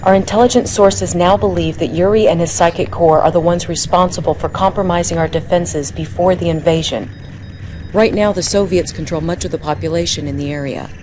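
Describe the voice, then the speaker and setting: An actress playing a young American military intelligence lieutenant, giving formal, measured briefings in a crisp manner for a video game. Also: female voice, on the low side, fairly even intonation, noisy background, natural voice. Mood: composed briefing.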